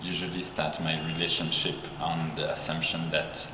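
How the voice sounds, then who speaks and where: Man from belgium, very deep voice, halting speech, repeats words, echoey room with reverb. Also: monotone, very noisy. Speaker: guest, company founder. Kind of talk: interview. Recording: echoey room with long reverb and music bed.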